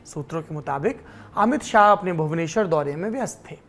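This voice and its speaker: Low-pitched woman presenting political news. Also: expressive, brisk. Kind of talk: explanatory political news commentary.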